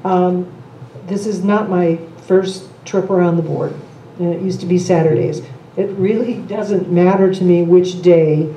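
An older woman leads a board meeting in a large conference room. Her voice is low and very dark, measured and procedural, with pauses and ums. The room rings with echo. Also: steady tone, slight background noise. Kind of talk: meeting discussion. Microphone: table microphone. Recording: echoey room, camera mic.

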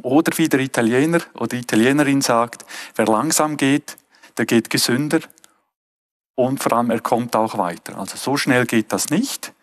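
A middle-aged man with a Swiss German accent gives a conference keynote from a stage lectern, earnest and often pausing to rephrase. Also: mid-range voice. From Switzerland. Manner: earnest, with frequent hesitations and restarts. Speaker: keynote speaker.

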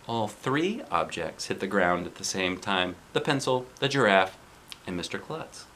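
A man's voice: on the low side, very animated, unhurried, bright, forceful tone, a little hiss or hum, voice pushed down, heavily acted. Man reading as second-grade girl, storybook know-it-all: smug, matter-of-fact.

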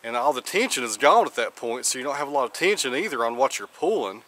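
A man's voice: mid-range voice, big pitch swings, fast, sharp tone. Guy in his 30s outside by the road, plain practical how-to talk, step by step, camera mic. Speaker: channel host. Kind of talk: casual how-to explanation. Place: outdoors by a road with trees.

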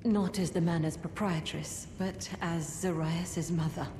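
An actress voicing a grave, guilt-ridden mother who runs a manor, speaking in measured, solemn, formal lines. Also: on the low side, fairly even intonation, noisy background. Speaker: game character. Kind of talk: video game cutscene acting. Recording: roomy echo. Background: game music.

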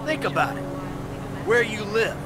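An actor playing a sardonic middle-aged American career bank robber in a video game, arguing in a dry, needling way. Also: male voice, high-pitched, animated, a little slow, heavy background noise.

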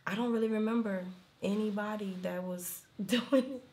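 A woman in her thirties with a raspy alto voice, chatting casually in an interview and rambling through stories with many fillers. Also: medium pitch, normal speed, darkish tone. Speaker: rapper and singer interviewed. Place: indoor room, seated on a leather couch.

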